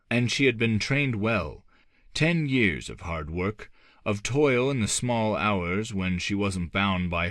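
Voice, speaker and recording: Male audiobook narrator, low register, expressive and varied intonation, measured dramatic pacing for gothic fantasy, dry studio-like sound. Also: no room sound.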